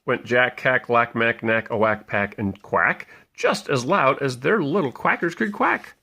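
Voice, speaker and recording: A man narrates a storybook for small children in a playful way. His voice is husky and gravelly, with lively swings in pitch as he pauses to point things out. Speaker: parent reading a bedtime story aloud.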